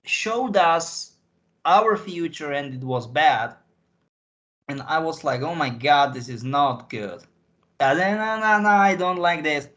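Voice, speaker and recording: A man from Slovakia with a Slovak accent gives rambling interview answers, haltingly repeating and rephrasing as he searches for words. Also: a little high, a bit bright, muffled recording. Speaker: interview guest.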